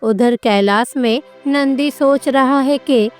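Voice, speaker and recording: A woman's voice: sits high, slow pace, faint music behind. A woman narrating a scripted devotional story as a storyteller.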